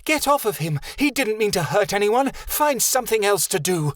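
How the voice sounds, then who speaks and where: A man's voice: sing-song, normal speed, dry recording. A man voicing a fierce, protective woman who scolds and hisses. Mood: scolding. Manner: shrill scolding, hissing.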